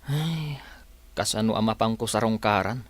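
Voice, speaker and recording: A man's voice: on the low side, normal speed, dry recording, lightly acted. A man playing an earnest, dejected young village labourer in a radio drama.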